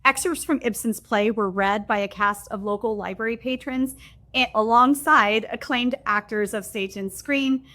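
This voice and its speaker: A woman's voice: a little high, normal speed, sharp tone. Woman hosting with a formal, prepared read. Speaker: library development director hosting. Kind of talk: reading prepared remarks.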